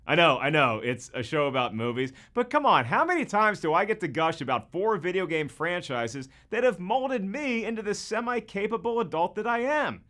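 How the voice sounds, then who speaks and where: A man hosting a show, delivering scripted entertainment commentary in an animated, emphatic manner. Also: high-pitched, normal speed, few pauses. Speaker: show host.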